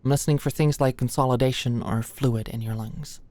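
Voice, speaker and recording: A woman's voice: a bass voice, steady tone, a little fast. A young woman playing an anxious, awkward female medical student in an intimate ASMR roleplay, speaking hesitantly and attentively. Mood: careful, nervous. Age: young adult. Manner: nervous, hesitant, stammering, softly attentive. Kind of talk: ASMR roleplay, intimate scripted one-sided dialogue. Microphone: close studio-style mic, dry.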